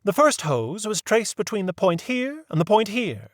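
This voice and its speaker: A fussy, genteel older lady with a high, fluttering voice that swoops up and down, as a male reader puts her on. She is prim and sweetly proper, and it sounds close and dry. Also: big pitch swings, moderate pace, no room sound.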